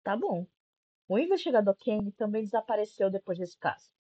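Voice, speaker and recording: Lively, husky young Brazilian woman, sarcastic. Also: mid-range voice, normal speed, lo-fi sound. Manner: sarcastic, informal, swearing. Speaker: YouTube commentary host. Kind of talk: casual video-essay commentary recapping a horror series.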